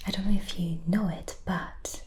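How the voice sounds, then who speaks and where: A woman whispering in a hushed, gently hesitant way, playing a hospitable witch in an ASMR roleplay. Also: mid-range voice, fairly even intonation, normal speed. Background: background music.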